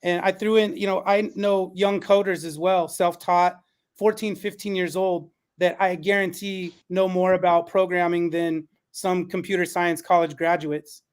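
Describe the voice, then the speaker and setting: A man's voice: sits high, little pitch movement, normal speed, clean tone. A man hosting a panel discussion, speaking in an encouraging, affirming manner. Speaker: panel moderator. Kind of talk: moderating an online panel discussion.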